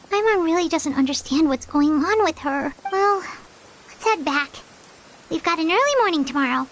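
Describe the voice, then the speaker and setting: A woman's voice: very high-pitched, animated, measured pace, rough recording. A woman voicing a small, chatty female fairy companion in a video game who refers to herself in the third person.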